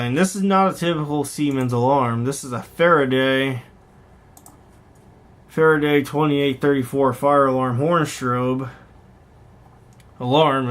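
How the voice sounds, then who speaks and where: A man with a medium-pitched voice talks casually as a hobbyist vlogger. He rambles conversationally through what he is showing, unscripted, correcting himself along the way. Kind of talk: casual unscripted show-and-tell commentary on online listings.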